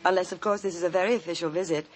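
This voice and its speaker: Sly, coy, mocking suspect woman acting, lowish voice; vintage radio mystery with background hiss. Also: steady tone, racing, close to an everyday voice. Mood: sardonic. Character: sly, flirtatious, sardonic woman suspect in detective serial. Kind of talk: old-time radio detective drama acting. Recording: noisy old radio recording.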